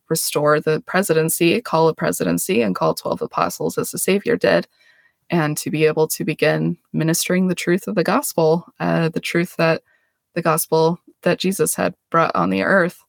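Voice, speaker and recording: Gravelly-voiced earnest woman host. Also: low-pitched, dry recording. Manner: earnest, self-deprecating, laughs often. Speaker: podcast host. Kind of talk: casual religious explanation.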